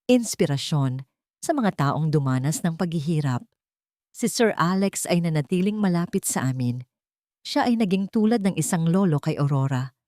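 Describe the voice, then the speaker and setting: A woman narrating a dramatic audiobook love story in steady, earnest scripted storytelling. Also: low voice, no echo, clean studio sound.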